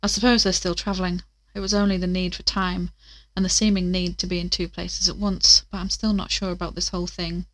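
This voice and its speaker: A woman's voice: fairly low pitch, level tone, a little fast, no room sound, natural voice, poor audio. A woman playing an earnest investigator in an audio drama, talking in a thoughtful, conversational way. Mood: pensive, uneasy. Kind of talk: audio drama acting, naturalistic diary narration.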